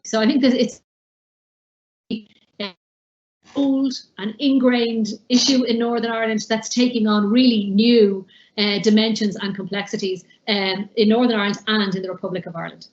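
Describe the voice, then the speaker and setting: A woman's voice: fairly high pitch, fairly even intonation, moderate pace, roomy sound, dull audio. A woman panelist reflecting thoughtfully and building her points in lists. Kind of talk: panel contribution.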